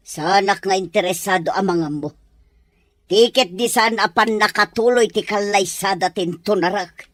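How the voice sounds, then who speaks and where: A woman's voice: medium pitch, a little slow, no echo, studio quality, close to an everyday voice. A woman acting a stern mother who opposes her son's sweetheart in a radio drama, with a disapproving, scheming manner.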